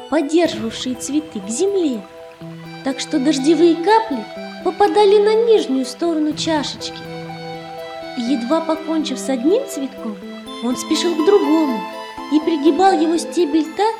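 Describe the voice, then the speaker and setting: A woman narrating a fairy tale for children in a measured, expressive storytelling manner. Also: high-pitched. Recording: roomy echo, faint music underneath. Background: faint music.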